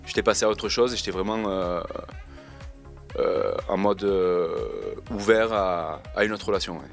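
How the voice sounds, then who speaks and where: Twenties dude, lowish voice, chill slangy talk full of uh's, faint music behind. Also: male voice, measured pace, gravelly. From Marseille, France. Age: 20s. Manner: relaxed, slangy, hesitant with many fillers. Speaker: reality TV contestant interviewed. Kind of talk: casual interview answers.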